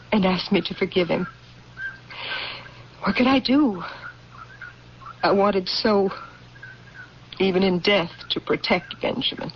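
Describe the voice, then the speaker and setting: A woman's voice: medium pitch, lightly acted. Grieving, resolute military widow, played by a woman. Formal, impassioned radio drama delivery, muffled vintage sound with light hiss. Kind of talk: old-time radio drama acting. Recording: muffled, hissy old radio recording.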